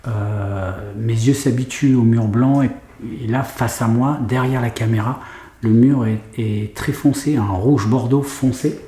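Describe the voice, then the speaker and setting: A man's voice: mid-range voice, steady tone, measured pace, warm tone, reverberant. A man with a head cold talking hesitantly to camera as he investigates an old house, with many fillers. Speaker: paranormal investigator vlogger. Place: large room in an old house, indoors.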